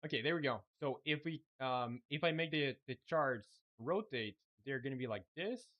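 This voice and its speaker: A man's voice: mid-range voice, moderate pace, highs cut off. A young man from Chile whose first language is Spanish, talking casually and thinking aloud while building his game on a live stream. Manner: relaxed, thinking aloud with many fillers.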